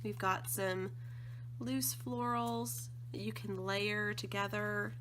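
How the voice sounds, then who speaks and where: A woman with a slightly croaky voice from allergies, chatting casually and gushing with enthusiasm as she unboxes craft supplies. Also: mid-range voice, flat delivery, slow, background noise. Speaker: scrapbooking design team member.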